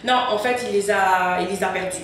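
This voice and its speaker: Woman, guest, from France, halting speech, distant, echoey. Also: mid-range voice, fairly bright tone, a little hiss or hum. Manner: halting, full of fillers. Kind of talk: interview answers.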